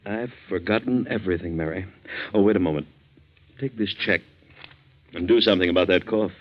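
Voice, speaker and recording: A man's voice: sits low, brisk. An actor playing a stern, guarded middle-aged father with a brooding manner, in a 1940s radio drama. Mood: softening. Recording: muffled 1940s radio broadcast.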